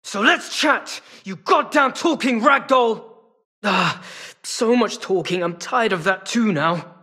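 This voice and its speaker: A sly feline rogue from a video game. The voice sits fairly high for a male and has a husky rasp. He talks in a wry, casual, streetwise drawl, like a weary hustler who is always bargaining. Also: animated, takes it slowly, sharp tone, full character voice. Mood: menacing. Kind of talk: video game character acting.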